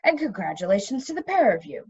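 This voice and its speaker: Woman doing a knowing lady dragon from a fantasy book, dry and calm, a bit lo-fi. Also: medium pitch, fast talker, husky, voice pushed down, full character voice. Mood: wry approval. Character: wry, unruffled female dragon.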